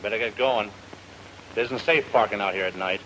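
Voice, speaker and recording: An actor playing a gruff but polite patrol policeman, brisk and official, in an old time radio drama. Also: fairly low pitch, a bit bright, natural voice, poor audio. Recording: old radio recording, faint noise.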